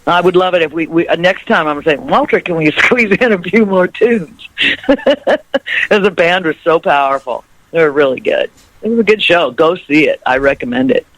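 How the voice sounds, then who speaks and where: A musician guest talking in a rambling, laugh-filled way during a radio interview. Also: male voice, on the high side, animated, normal speed, no echo, low-quality recording. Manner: rambling, laughs often, warm storytelling. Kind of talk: interview chat.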